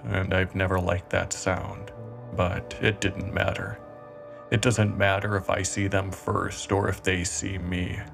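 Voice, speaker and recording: A man playing a calm, self-justifying cannibal killer in a brooding, deliberate horror monologue. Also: low-pitched, gravelly, heavily acted. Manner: brooding, deliberate, measured with dramatic pauses. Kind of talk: scripted horror monologue, dramatic narration.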